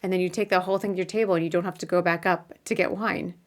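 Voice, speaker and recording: A woman hosting a casual live video interview, chatty and quick to laugh. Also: on the low side, fairly even intonation. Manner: chatty, laughs often, apologetic at start. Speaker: podcast host.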